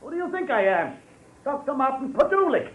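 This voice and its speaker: A rabbity, nervous little male phony dentist in a radio mystery. His voice is high and leaps about with jittery indignation. The vintage recording is dull, with plain background noise. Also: big pitch swings, a little fast. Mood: indignant, threatening. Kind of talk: old-time radio drama acting.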